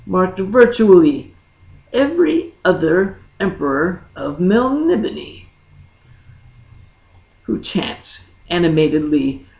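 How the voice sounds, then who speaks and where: A woman narrates a fantasy tale with theatrical, deliberate phrasing. Her delivery is slow and lively in pitch, with a gravelly creak in the voice. The recording is a dull, lo-fi one made in an echoey room. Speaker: audiobook narrator. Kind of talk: dramatic scripted fantasy narration.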